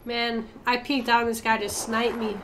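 A woman's voice: fairly high pitch, flat delivery, normal speed, background noise. A young woman streaming a team shooter match, chatting casually and calling out plays, her nose stuffed up from a cold. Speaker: gaming streamer. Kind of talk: casual gameplay commentary with team callouts.

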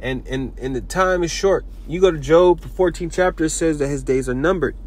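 A man giving an informal sermon video, talking in halting bursts with many repeats and restarts. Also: on the high side. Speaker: preacher.

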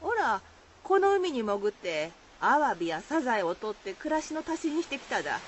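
An actress playing a troubled village shellfish diver in a folktale radio drama, earnest and humble, speaking rural Shizuoka Izu dialect. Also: female voice, a little high, big pitch swings, normal speed. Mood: distressed, pleading. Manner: humble, earnest rustic speech. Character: troubled, plain-spoken village woman who dives for shellfish. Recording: faint background noise.

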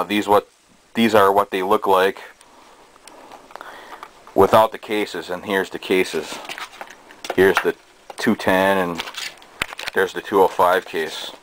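A man giving a casual show-and-tell in a halting, hesitant way, recorded indoors on a handheld camera's microphone. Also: sits low, poor audio. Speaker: hobbyist presenter. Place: small cluttered bedroom indoors. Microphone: handheld camera's built-in microphone. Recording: steady noise, amateur camera sound.

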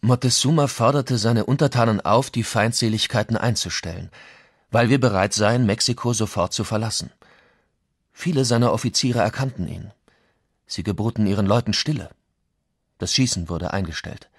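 A man's voice: on the low side, moderate pace, breathy edge, dry recording, clean studio sound. A man playing a Spanish conquistador who recounts events in a formal memoir for an audio documentary. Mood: measured, formal. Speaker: reader of historical quotations. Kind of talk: dramatic reading of old chronicles.

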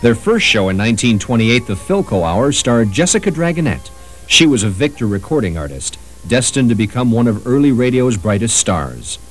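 A man's voice: mid-range voice, very animated, moderate pace, faint music behind. A man reading scripted narration for a history documentary.

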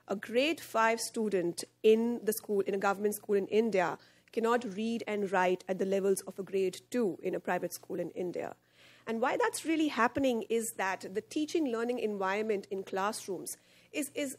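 A woman in her thirties giving a conference panel presentation at a podium, explaining a project with frequent fillers. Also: mid-range voice. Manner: explanatory, gestures while speaking, frequent fillers. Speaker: head of partnerships at a charity. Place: conference hall stage at a podium. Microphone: podium microphone.

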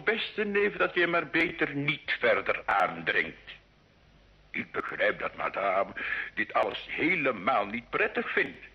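An actor playing a jovial, scheming medieval duke in a radio play, patronising and theatrical, with a gravelly, husky voice. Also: male voice, high voice, heavily acted. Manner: theatrical, patronising, sprinkled with French phrases. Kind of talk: Dutch-language radio play acting. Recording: dull, lo-fi old radio recording.

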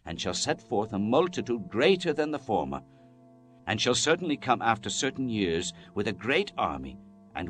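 A man narrating the Bible as a dramatized scripture reading, in a solemn, measured, declamatory manner. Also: lowish voice, a bit bright. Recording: music bed under the voice. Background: background music.

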